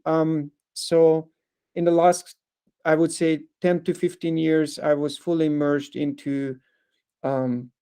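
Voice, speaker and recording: A man in his thirties from Hungary, giving an online lecture over a video call, halting with many ums and likes. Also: fairly high pitch, vocal fry, mellow. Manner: hesitant, with frequent ums, uhs and likes. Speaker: guest speaker.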